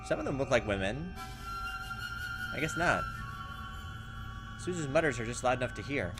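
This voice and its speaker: A man's voice: mid-range voice, expressive, normal speed. A man hosting a let's play, reading visual novel text aloud and chatting in a hesitant, thinking-aloud way. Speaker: gaming video host. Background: game music.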